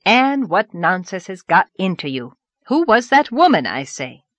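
A no-nonsense older woman from a storybook , voiced by a woman reader. She is blunt, dry and impatient, firing exasperated questions with a lot of pitch movement, closely recorded. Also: sing-song, measured pace, fairly bright tone, no room sound. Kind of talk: audiobook character voice.

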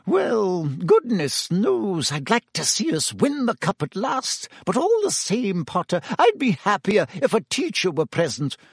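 A man reading aloud puts on a strict older woman professor, raised well above his own pitch and tinged with a different timbre. Her grave, formal, measured delivery sounds close and dry, like a storybook read at the mic. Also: huge pitch swings, breathy edge, dry recording, exaggerated voice. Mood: grave, stern.

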